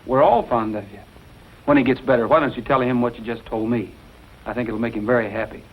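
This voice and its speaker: An actor playing a kindly, steady young cowboy hero in a 1940s western drama, on a dull, noisy recording. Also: male voice, medium pitch, fast talker, slightly rough. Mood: reassuring. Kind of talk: 1940s film and radio western acting. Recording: dull lo-fi old recording, background noise.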